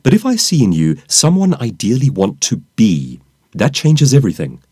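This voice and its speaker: A man reading his own nonfiction audiobook as an author and professional speaker, with a polished, emphatic, oratorical delivery and deliberate pauses. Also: mid-range voice, wildly swooping pitch, darkish tone, husky, no echo, clean studio sound. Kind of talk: scripted audiobook narration.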